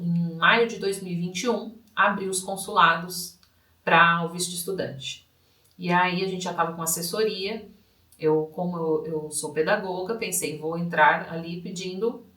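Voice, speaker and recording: A woman tells her story in a chatty, conversational way, often asking "né?" Her voice sits a little low but sounds bright. She is recorded at a distance in a roomy, echoing space. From Brazil, São Paulo state. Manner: warm, chatty, leans on tag questions. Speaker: podcast co-host. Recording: echoey room, mic at a distance.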